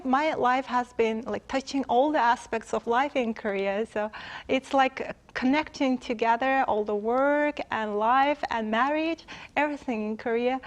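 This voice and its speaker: A woman in her thirties from Azerbaijan, an English speaker, answering interview questions hesitantly and restarting phrases. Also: a little high. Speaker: interview guest.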